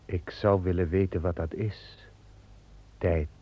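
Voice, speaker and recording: A man voicing a calm, solemn male angel guarding a paradise garden, speaking slowly and with measure in an old radio play. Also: sits low, husky, heavily acted. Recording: dull old radio play recording with music.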